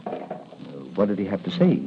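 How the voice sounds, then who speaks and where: An actor playing a kindly, helpful doctor and inventor with a slightly hesitant delivery in 1940s radio style. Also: male voice, lowish voice, big pitch swings, a little fast. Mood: helpful, curious. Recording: phone-like, noisy 1940 radio recording.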